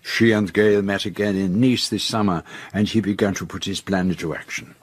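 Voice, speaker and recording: A confident detective in a radio drama, his voice low and husky. He speaks with formal, measured assurance, setting out his case step by step. The sound is close and dry. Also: steady tone, dry recording. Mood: accusing. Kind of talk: radio drama acting, detective's denouement monologue.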